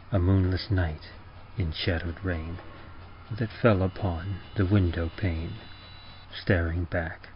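A man with a very low voice narrates horror in an old-time radio style. He is slow, measured and ominous. The recording is dull, with clear background noise. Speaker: podcast presenter reading horror fiction and poetry.

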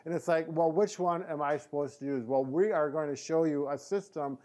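Higher-pitched middle-aged American man explaining. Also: a little fast, a little mellow. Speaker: physical therapist presenter. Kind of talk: casual instructional demonstration. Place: indoor therapy room.

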